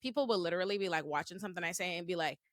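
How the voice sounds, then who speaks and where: A woman's voice: on the low side, rapid-fire, dry recording, studio quality. A young woman with a Bahamian accent, hosting a casual panel discussion, talking emphatically and restarting her sentences. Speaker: host.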